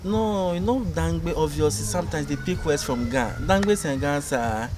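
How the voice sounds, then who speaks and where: A man with a Ghanaian accent hosting a casual on-camera chat, energetic and loud as he quizzes his guest. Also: fairly high pitch, a little slow. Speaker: show host.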